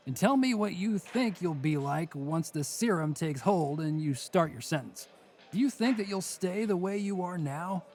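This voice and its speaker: A man playing a world-weary, menacing prison inmate in an audio drama sketch, speaking in a deliberate, scornful, taunting way. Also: fairly high pitch. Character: mocking, world-weary male prison bunk mate, menacing.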